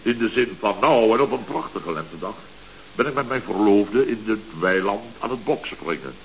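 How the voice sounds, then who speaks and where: An actor playing a blustering, scatterbrained middle-aged boss in a radio comedy, rambling self-importantly with stammering restarts and repetitions. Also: male voice, on the low side, expressive, moderate pace, vocal fry. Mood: pompous reminiscing. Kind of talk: 1970s radio comedy acting. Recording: hissy, muffled old radio recording.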